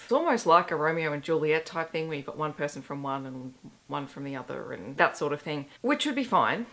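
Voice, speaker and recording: A woman in her thirties with a hoarse, worn-out voice, chatting casually and candidly as a book vlogger doing a weekly reading wrap-up. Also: low-pitched. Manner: chatty and candid, with self-deprecating asides.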